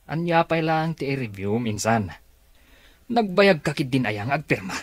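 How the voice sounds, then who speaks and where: A man's voice: medium pitch, sing-song, slow, no room sound. An actor playing a greedy, scheming male cousin in a radio drama, wheedling and sneering with a Filipino accent. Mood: pushy.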